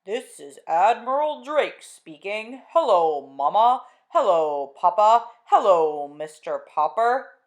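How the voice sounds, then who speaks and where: A woman reading aloud and voicing an admiral calling over a faint radio broadcast, speaking slowly and loudly like an announcement. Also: medium pitch, very animated. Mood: booming.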